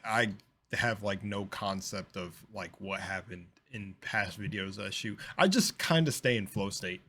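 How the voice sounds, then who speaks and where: A young man with a slight lisp, hosting a casual reaction video, rambling with lots of fillers and jumping between loud bursts and quiet mumbles. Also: lowish voice, a little slow.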